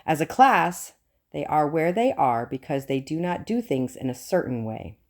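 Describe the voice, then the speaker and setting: A woman narrating a nonfiction audiobook in a measured, earnest and formal way. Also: low-pitched, sing-song. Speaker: audiobook reader.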